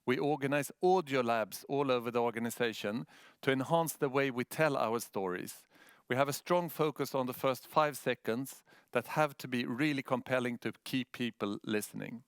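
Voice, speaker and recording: Swedish man presenting, clear and dry-sounding. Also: mid-range voice, no echo. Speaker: news editor presenting a project. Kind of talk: prepared presentation.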